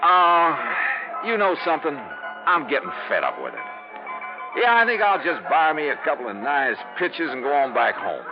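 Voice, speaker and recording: Through a dull 1950s radio sound comes a man putting on a brash Texas oil millionaire. He drawls boastful, folksy talk in a Texan accent, with lively swings of pitch, as in vintage radio drama. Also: moderate pace, music in the background. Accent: Texan, put on. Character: brash, rich male Texas oilman on a spree. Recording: band-limited 1950s radio recording.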